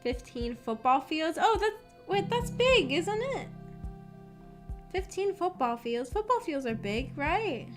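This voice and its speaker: A woman's voice: very high voice, very animated, slow pace. A young adult woman chatting casually on a livestream in a hesitant, rambling, self-effacing way. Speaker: streamer chatting with live chat. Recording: background music under the voice. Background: background music.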